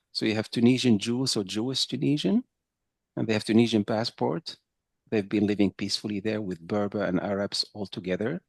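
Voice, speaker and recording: Low-voiced man giving a relaxed workshop talk. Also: vocal fry, dry recording, clean studio sound. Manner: conversational, checks in with "right?". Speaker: intercultural trainer. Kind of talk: workshop lecture with audience.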